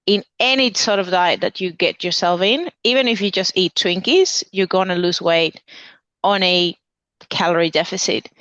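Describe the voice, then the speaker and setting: Slow, smooth-voiced Venezuelan-born woman. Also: fairly low pitch, a bit bright, no echo, dull audio. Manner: earnest, listing points with restarts. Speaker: dietitian guest. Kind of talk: conversational interview answer.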